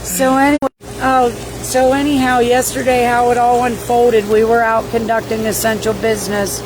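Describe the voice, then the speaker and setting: Hoarse scratchy-voiced woman, kinda high, swears a lot, rambles, noisy background. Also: flat delivery, moderate pace. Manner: rambling, profane, exclamatory. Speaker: livestream host. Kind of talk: casual livestream recap reading viewer comments.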